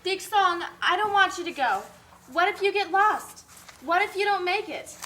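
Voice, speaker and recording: A woman's voice: very high voice, expressive, normal speed, pushed and bright, exaggerated voice. A woman playing an anxious, loving mother from a poor family in a puppet show, speaking in a pleading way. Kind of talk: scripted puppet show acting.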